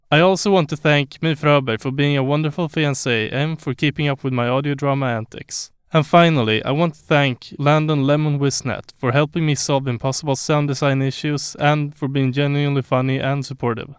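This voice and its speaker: A man's voice: medium pitch, clear, resonant tone, dry recording, studio quality. A man reading scripted closing credits as the announcer of an audio drama.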